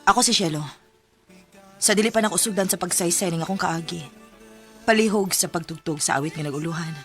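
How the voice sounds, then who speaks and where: A woman's voice: on the low side, brisk, a bit bright, soft background music, natural voice. A woman with a Cebuano accent playing a reflective letter-sender narrating her story in a radio drama.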